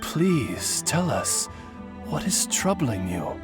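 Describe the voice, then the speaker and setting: A very deep, courtly familiar. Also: female voice, very animated, over music, heavily acted. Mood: deferential. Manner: formal, courtly, measured. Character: dignified, devoted servant familiar of a young princess. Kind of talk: animated video game dialogue.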